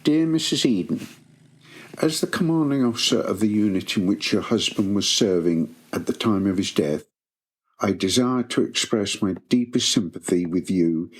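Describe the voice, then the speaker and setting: A man reading formal condolence letters as a solemn British wartime dignitary, in a measured manner. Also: fairly low pitch, breathy edge. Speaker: voice reader of letters.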